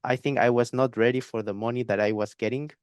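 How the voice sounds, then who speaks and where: Young male guest, clear resonant tone, dry close sound, halting delivery with fillers. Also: mid-range voice, steady tone, no echo. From Spain. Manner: hesitant, repeats words, many fillers. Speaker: guest trader. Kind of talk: interview conversation.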